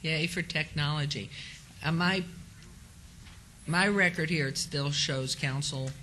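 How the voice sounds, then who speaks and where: A hoarse woman runs a city council session from the chair, reading out formal notices in a crisp, bright tone. Her voice is roughened by a lingering cold. Between script items she turns briefly conversational with colleagues. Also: low-pitched, measured pace, a little hiss or hum. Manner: reads a set script, then chats lightly with colleagues. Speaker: meeting chair. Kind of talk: formal procedural meeting chairing.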